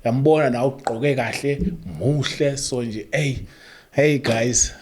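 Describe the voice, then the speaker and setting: A man with a South African accent who hosts an interview podcast, talking casually and warmly with lots of laughs and "man". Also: mid-range voice, steady tone, measured pace. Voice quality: hype-man, laughs often, affectionate filler "man". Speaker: podcast host.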